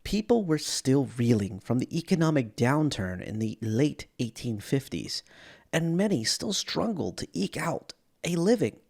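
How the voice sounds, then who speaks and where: A man giving a dramatic, measured narration of science fiction lore as a storyteller. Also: mid-range voice, big pitch swings, gravelly, a little mellow.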